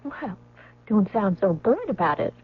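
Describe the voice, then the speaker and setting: A woman's voice: mid-range voice, big pitch swings, measured pace, slightly rough. An actress playing a sweet, anxious young woman, girlish, in 1970s radio drama style. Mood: coy, playful. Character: sweet, anxious nineteen-year-old sweetheart, girlish and pleading. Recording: tinny, phone-like old radio broadcast.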